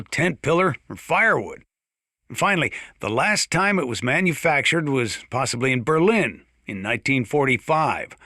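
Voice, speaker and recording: An actor voicing a folksy, gun-loving sheriff in a video game, chatty and opinionated, as if hosting a radio monologue. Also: male voice, medium pitch, sharp tone, no room sound, clean studio sound. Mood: teasing, opinionated. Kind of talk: scripted radio monologue, folksy instructional commentary.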